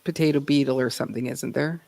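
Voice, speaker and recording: A woman's voice: a bass voice, fast, creaky, mellow, dry recording. A woman hosting a casual livestream, chatting with viewers and a guest, laughing often and filling her talk with ums and restarts. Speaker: livestream host.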